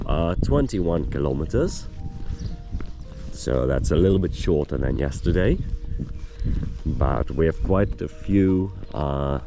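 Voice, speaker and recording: Hoarse male travel vlogger, very low and slow, music playing under him. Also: dark tone. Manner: unhurried, hesitant, explaining as he walks. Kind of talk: casual travel narration on a hike. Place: outdoors on a hiking trail.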